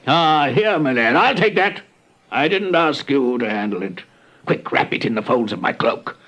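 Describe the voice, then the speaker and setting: An actor playing a commanding old wizard, stern yet kindly and theatrical, in a fantasy audio drama. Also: male voice, medium pitch, expressive, normal speed, fairly bright tone, natural voice. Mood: brisk, thoughtful. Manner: theatrical, declamatory. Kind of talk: fantasy radio drama acting.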